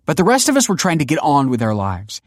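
A man's voice: medium pitch, animated, moderate pace, no echo, clean studio sound, pitched above the performer's own voice, close to an everyday voice. A man voicing an exasperated, scornful adult daughter in an audiobook story, sarcastic and impatient. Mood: firm, exasperated.

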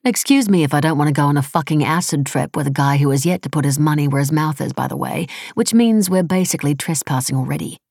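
A woman acting a prickly, scornful young-sounding woman, gravelly. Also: low voice, big pitch swings, fast, hardly any pauses, a little mellow, dry recording, clean studio sound, heavily acted. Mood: indignant. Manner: blunt, sarcastic, peppered with casual "like". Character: defensive, scornful, foul-mouthed woman activist, earnest and prickly.

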